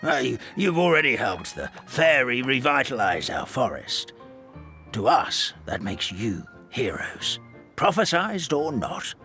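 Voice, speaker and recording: A stammering, kindly old grandpa. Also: male voice, medium pitch, very animated, unhurried, sharp tone, faint music behind, exaggerated voice. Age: older. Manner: stammering, wistful, fond. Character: kindly old male forest elder, grandfatherly. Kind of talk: game cutscene voice acting.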